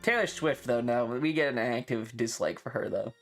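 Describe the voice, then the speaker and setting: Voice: mid-range voice, normal speed, faint music behind. A streamer chatting casually and live, rambling and opinionated, swearing freely and complaining with playful exaggeration. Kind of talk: casual livestream chat.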